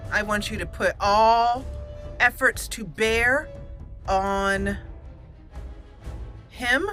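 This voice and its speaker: A woman's voice: mid-range voice, bright, forceful tone. A woman giving casual let's play commentary, thinking aloud and talking straight to the game's characters. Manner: thinking aloud, addressing game characters directly. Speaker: gaming channel host.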